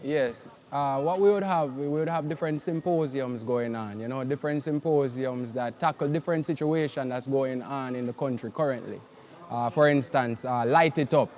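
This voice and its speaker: A man's voice: mid-range voice, moderate pace, darkish tone. A man with a Jamaican accent co-hosting a live outdoor event, laid-back and a little unsure on air. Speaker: co-host. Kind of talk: live event broadcast chat.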